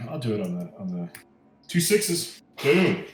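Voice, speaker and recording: A man's voice: fairly low pitch, very animated, takes it slowly. A man playing a tabletop roleplaying game, talking casually. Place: online voice call. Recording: roomy echo, far from mic.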